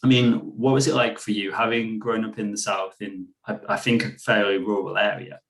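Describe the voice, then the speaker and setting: A man's voice: lowish voice, steady tone, moderate pace, fairly bright tone, poor audio. A young man, co-hosting a casual political discussion in an earnest, rambling way full of "you know". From Manchester, England. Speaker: podcast co-host.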